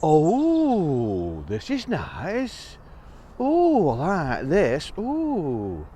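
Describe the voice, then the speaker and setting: A fussy, easily startled little mouse, put on by a man telling a story, squeaking in a high, jumpy voice. His lines swing wildly up and down. Faint birdsong drifts in behind him from outdoors. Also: big pitch swings, a little fast, a little mellow, a little hiss or hum. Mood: contented. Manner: nervous, easily startled, yelps when scared.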